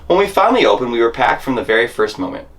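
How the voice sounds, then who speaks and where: A man's voice: medium pitch, sing-song, racing, fairly bright tone. A man reading a memoir as a dramatic monologue, telling the story in scripted first person with theatrical emphasis.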